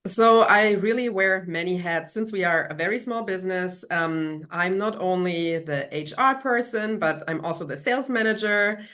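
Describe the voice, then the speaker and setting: Female interview guest, German accent, slightly low voice, conversational small-business owner. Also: normal speed, hardly any pauses, a bit bright.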